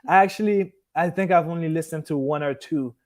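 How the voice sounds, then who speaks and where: Higher-pitched man chatting on a live stream. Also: a little fast. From Haiti, moved to Miami at fourteen. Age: early 30s. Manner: rambling, loses and regains his thread. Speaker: vlogger hosting a live stream. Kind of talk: casual chat, answering live comments.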